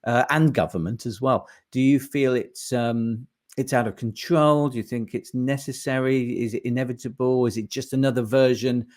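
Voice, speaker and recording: Halting man hosting an interview. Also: medium pitch, steady tone, darkish tone. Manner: halting, searching for words, with many fillers. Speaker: podcast host. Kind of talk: casual interview.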